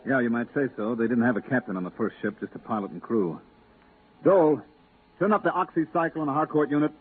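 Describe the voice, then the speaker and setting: An actor playing the firm, weary commander of a space outpost in a 1950s radio drama. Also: male voice, medium pitch, fast, husky, close to an everyday voice. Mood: dry, weary. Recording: noisy, narrow-band 1950s radio recording.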